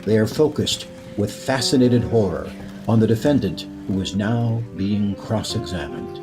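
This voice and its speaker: A man playing an eerie, inviting radio host, narrating a suspense story in a portentous, deliberate, beckoning way. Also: on the low side, music in the background. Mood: ominous narration.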